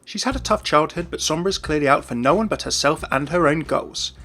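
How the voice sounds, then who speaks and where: Male British gaming host, fairly high voice, rapid enthusiastic voice-over delivery. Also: expressive, pushed and bright. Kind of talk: enthusiastic video narration and explainer. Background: faint music.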